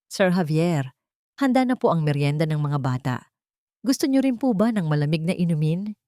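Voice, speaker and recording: A humble older housekeeper speaks softly and deferentially, as a female narrator voices her in an audio drama. Her low, dry voice stays gentle and polite. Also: animated, normal speed, no room sound. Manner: soft-spoken, deferential.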